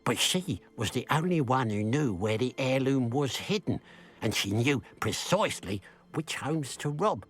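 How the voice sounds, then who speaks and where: An actor playing a bitter, suspicious village shopkeeper in a video game, grumbling with dramatic sarcasm. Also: male voice, mid-range voice, lively intonation, measured pace, slightly rough, soft background music. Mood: indignant. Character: bitter, suspicious village shopkeeper man, resentful of his sister.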